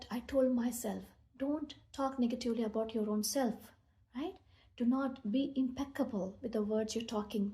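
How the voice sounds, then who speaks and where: A woman with an Indian accent and a soft-edged, mellow voice at a medium pitch. She tells a personal story to listeners in an earnest, halting way, sometimes doubling back on her words. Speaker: meditation speaker.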